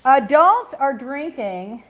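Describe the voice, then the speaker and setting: A chatty middle-aged woman hosts a talk show in a high, bright-pitched voice. She moves fast from gossip to news and drops blunt opinions and playful asides along the way. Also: big pitch swings. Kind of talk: casual gossip and news chat.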